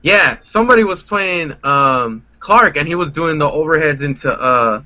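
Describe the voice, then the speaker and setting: A man's voice: a little high, expressive, normal speed, bright, forceful tone, no room sound. A man chatting casually and analysing a game as a podcast guest, in slangy, conversational language. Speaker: guest player on a gaming podcast.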